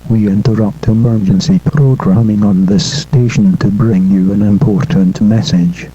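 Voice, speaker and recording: A synthetic male voice with a husky tone, making a formal scripted announcement as a station announcer. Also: lowish voice, fairly even intonation, normal speed, warm tone. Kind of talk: scripted broadcast interruption announcement. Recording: muffled lo-fi broadcast.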